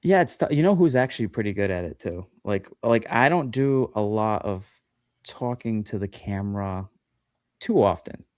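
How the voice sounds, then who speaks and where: A man's voice: fairly low pitch, lively intonation, normal speed, a little mellow, dry recording, studio quality. A man chatting as a guest on a livestream podcast, talking loosely with lots of fillers and self-deprecating asides. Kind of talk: casual chat on a livestream.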